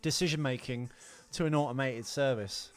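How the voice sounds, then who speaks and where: A man's voice: mid-range voice, moderate pace, clear, resonant tone, faint music behind. A man hosting a comedic film podcast, chatting casually in a jokey, crude, rambling way. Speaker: podcast host.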